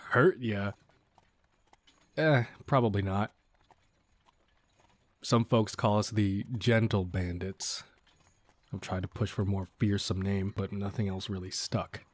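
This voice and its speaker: Man acting a cocky, low-voiced bandit leader. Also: steady tone, takes it slowly, pauses often, dry recording. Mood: easygoing, amused. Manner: casual, folksy drawl of mock courtesy, wry. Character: cocky, teasing, surprisingly considerate male bandit leader. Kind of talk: audio roleplay acting addressed to the listener.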